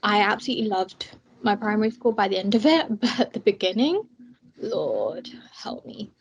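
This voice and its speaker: A woman's voice: medium pitch, sing-song, normal speed, a little hiss or hum. A young woman from Bangladesh, a student union president, chatting casually and laughing often as she tells her story. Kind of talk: casual chat while eating snacks. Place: indoors, student union room.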